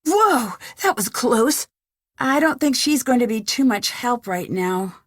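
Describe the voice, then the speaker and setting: A woman playing an inquisitive, level-headed young detective thinking aloud in a video game, earnest and crisp. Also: a little high, very animated, unhurried, dry recording, studio quality. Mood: alarmed, then wry, determined.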